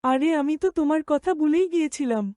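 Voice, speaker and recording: A woman's voice: sits high, fast, mellow, no room sound, studio quality, close to an everyday voice. A woman voicing a kind, simple young goatherd boy in a cartoon, speaking earnestly. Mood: content. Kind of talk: cartoon dialogue.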